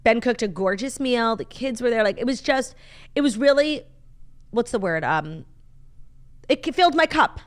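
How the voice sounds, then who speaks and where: A woman co-hosting a casual morning talk show, excitable and gushing, with casual swearing. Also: fairly high pitch, moderate pace, pauses often. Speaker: podcast co-host. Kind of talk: casual chat.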